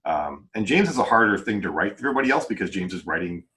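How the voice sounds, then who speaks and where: A man's voice: lowish voice, very animated, quick pace, bright, forceful tone. A man chatting casually as a podcast host and publisher, earnest and rambling in unscripted talk. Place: remote group video call.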